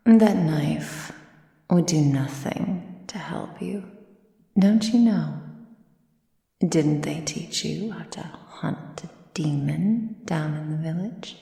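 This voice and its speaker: Girl doing a vampire mistress for asmr, super slow breathy whispering, creaky, possessive and teasing, big echo. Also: low-pitched, dark tone, heavily acted. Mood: amused, condescending. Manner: slow, deliberate, theatrical, teasing. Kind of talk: ASMR roleplay, intimate monologue. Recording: roomy sound with long reverb.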